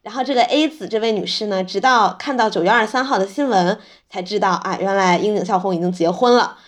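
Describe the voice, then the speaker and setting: A woman's voice: mid-range voice, fast talker. A woman hosting a podcast, explaining things in a casual, chatty storytelling way. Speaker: podcast host.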